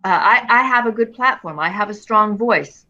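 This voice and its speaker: A middle-aged woman talking as an interview guest in an earnest, expressive way, often restarting her phrases. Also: mid-range voice, normal speed, muffled recording. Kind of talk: casual interview conversation.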